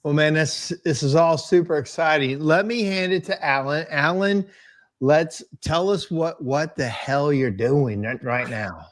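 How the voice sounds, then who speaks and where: A man's voice: on the high side, measured pace. A man hosting a casual live interview in an informal, chatty way. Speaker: host.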